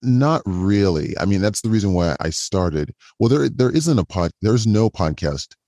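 Man, voice pitched down by a modulator, deep, calm, inviting, dry, chatty with restarts. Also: moderate pace, no echo. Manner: chatty, with frequent restarts and repetitions. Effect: voice modulated lower. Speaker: podcast guest, audio erotica podcaster. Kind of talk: casual interview chat.

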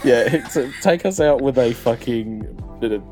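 A man's voice: medium pitch, lively intonation, music in the background. A young man co-hosting a casual podcast chat, swearing often and getting emphatic as he rants. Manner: sweary, emphatic, quick to rant. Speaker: podcast co-host.

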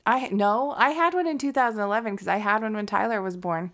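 A woman's voice: mid-range voice, brisk, fairly bright tone. A blunt, foul-mouthed woman in her thirties from Connecticut co-hosts a laid-back true-crime podcast. She talks casually and mocks the people she recounts. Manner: blunt, profane, mocking. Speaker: podcast co-host.

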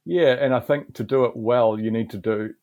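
A middle-aged man with a New Zealand accent, a garden manager, explaining in a relaxed podcast interview with frequent restarts. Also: medium pitch, moderate pace, no room sound, phone-quality sound.

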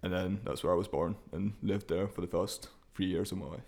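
Lower-pitched man, laid-back podcast guest. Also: steady tone, a little fast, creaky, a little mellow. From Transnistria. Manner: laid-back, slangy, jokey. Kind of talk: casual chat, personal storytelling.

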